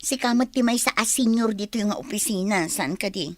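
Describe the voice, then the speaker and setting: An actress playing a playful, teasing young Filipino office friend in a radio drama. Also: female voice, mid-range voice, brisk, no echo, studio quality, close to an everyday voice.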